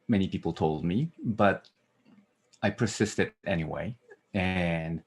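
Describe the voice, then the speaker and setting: A man's voice: low voice, gravelly, slightly rough. A middle-aged man from Japan with a Japanese accent, giving an academic lecture over a video call, earnest and full of "uh" fillers. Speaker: guest lecturer.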